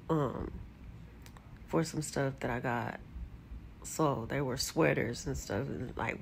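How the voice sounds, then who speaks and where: A woman's voice: deep voice, gravelly, slight background noise. A middle-aged woman with a congested voice, chatting candidly to her phone camera while sitting on a bed, with sudden exasperated rises. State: congested from allergies. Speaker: vlogger. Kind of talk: casual chat to camera. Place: bedroom, sitting on a bed indoors. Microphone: phone camera held close.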